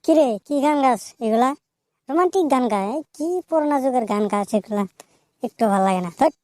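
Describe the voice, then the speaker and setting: Woman voicing a teasing, mellow cartoon schoolboy. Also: sits high, expressive, fast talker, dry recording. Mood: mocking. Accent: eastern Bengali. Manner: teasing, boastful. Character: teasing schoolboy showing off to his friend. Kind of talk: comic cartoon dialogue.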